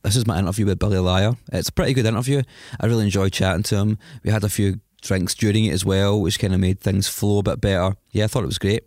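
A Scottish man speaks in a fairly low voice, a little stuffed up from a cold. He rambles in a chatty, self-mocking way through his podcast intro. The sound is dry and close. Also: fairly even intonation, quick pace, a little mellow, no room sound. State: has a cold, sniffly. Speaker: podcast host. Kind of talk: solo podcast intro monologue.

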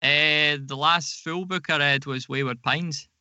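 A man's voice: fairly high pitch, fairly even intonation, measured pace, pushed and bright, clean tone, dry recording, highs cut off. A man chatting casually as a podcast guest, informal and dryly humorous. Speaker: podcast guest, audio drama producer. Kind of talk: casual interview chat.

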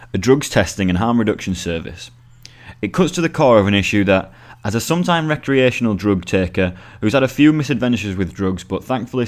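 A young British man reading his own written article aloud as scripted narration. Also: on the low side.

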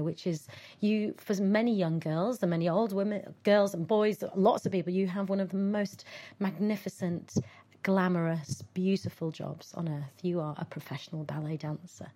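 A woman's voice: medium pitch, a little mellow. A woman hosting an interview, chatty and effusive, piling on enthusiastic superlatives. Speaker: interviewer host. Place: theatre in Leeds.

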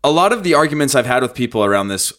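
A man's voice: medium pitch, very animated, very fast, fairly bright tone. A man from the United States hosting a podcast, chatting casually in an emphatic, argumentative way. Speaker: podcast host. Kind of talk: casual opinionated chat.

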